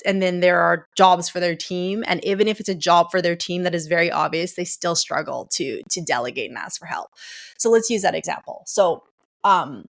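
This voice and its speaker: Low-voiced woman coaching in casual talk. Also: a bit bright. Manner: explains step by step, checking in with "okay?". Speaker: coach and podcast host.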